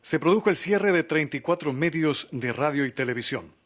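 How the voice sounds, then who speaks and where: A man's voice: on the high side, expressive, a little fast. A man, a channel representative reading a formal statement at a hearing. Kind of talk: formal prepared statement, read aloud.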